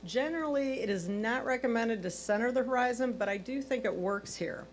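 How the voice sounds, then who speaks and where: A woman's voice: fairly high pitch, brisk. A woman working as a photo competition judge, reading her own prepared critiques in a constructive, encouraging manner. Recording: clear background noise, hum.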